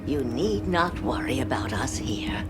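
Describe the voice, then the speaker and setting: A woman's voice: extremely low pitch, sing-song, a little slow, exaggerated voice. An actress playing a gracious, dignified female village leader, speaking formally and solemnly in a video game. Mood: solemn gratitude. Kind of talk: video game cutscene acting. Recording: loud music behind.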